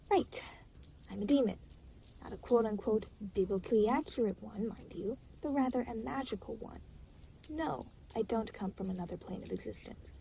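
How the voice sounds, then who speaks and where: A woman's voice: a little high, lively intonation, normal speed, creaky, dark tone, a little hiss or hum, low-quality recording. A woman playing an aloof, exasperated demon in an audio roleplay, talking to the listener in a dry, sarcastic, weary way. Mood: weary, sardonic. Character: aloof, exasperated demon, reluctantly babysitting a new soul.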